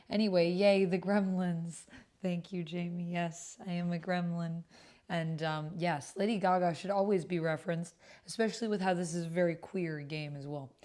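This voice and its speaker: Lower-voiced woman chatting on a livestream. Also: a little mellow. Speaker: livestream host and tabletop roleplay player. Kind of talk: casual livestream commentary mixed with reading chat messages aloud.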